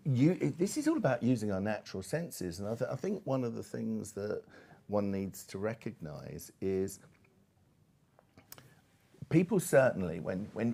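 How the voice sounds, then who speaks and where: Male panellist, middle-aged, deep voice, discursive expert talk, stop-start and emphatic. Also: vocal fry, a little mellow. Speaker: panel speaker.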